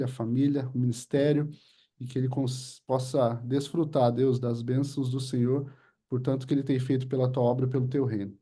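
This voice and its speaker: Um homem com sotaque brasileiro e voz muito escura, um pastor que apresenta um convidado e conduz uma oração. Ele fala de forma hesitante, com muitas pausas e muitos "né" e "é". O tom é informal e próximo. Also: male voice, medium pitch, warm tone. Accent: Brazilian Portuguese. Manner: halting, with many fillers and restarts. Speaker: pastor hosting a church leaders' meeting. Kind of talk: informal introduction and spoken prayer.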